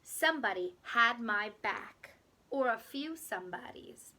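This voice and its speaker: A woman reading a children's picture book aloud like a teacher, expressive and deliberate, with dramatic pauses. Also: a little high, a little hiss or hum.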